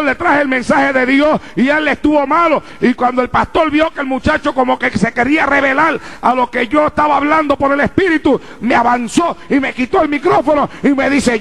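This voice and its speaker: A man preaching a fervent sermon to a congregation, rising into shouts and calling out rhetorical questions. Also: extremely high pitch, gravelly. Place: church before a congregation.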